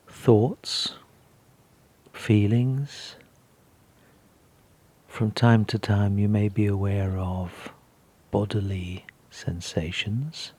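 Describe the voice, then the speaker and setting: A man leading a guided meditation in a gentle, calm, unhurried manner with long pauses and a husky, gravelly voice. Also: on the low side.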